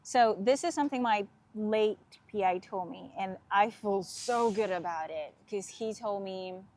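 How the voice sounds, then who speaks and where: A woman from Guatemala, a PhD student guest on a podcast, talking frankly and relaxed, with frequent "like". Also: mid-range voice, a little slow, slight background noise. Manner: relaxed, frank, uses filler "like" often. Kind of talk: casual interview chat.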